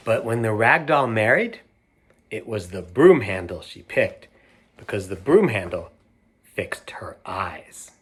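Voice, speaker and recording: A middle-aged man reading a picture book aloud to children, gentle and unhurried, stopping to show the pictures. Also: fairly low pitch, very animated, slight background noise. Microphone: camera mic, moving toward it to show pages.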